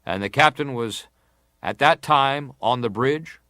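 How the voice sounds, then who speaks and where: A man acting as a senator at an inquiry, putting questions in a formal, deliberate and persistent manner. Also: medium pitch, sharp tone, no echo, clean studio sound. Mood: grave, probing. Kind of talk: dramatized reading of hearing testimony.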